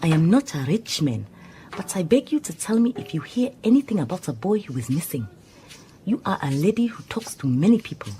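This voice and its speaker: An actress playing an earnest, deferential secretary in a radio drama, formal and careful, with a Southern African accent. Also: female voice, lowish voice, sing-song, a little fast, mellow, a little hiss or hum. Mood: solemn reading. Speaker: supporting actor in a radio drama.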